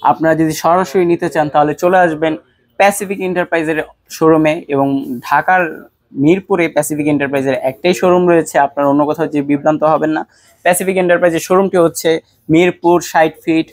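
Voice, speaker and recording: A man with a Bangladeshi accent presenting a product pitch, speaking directly to viewers like a shop salesman. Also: steady tone, a little fast, dry recording. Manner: salesman-like, addresses viewers directly with rhetorical questions. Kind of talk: promotional product pitch to camera.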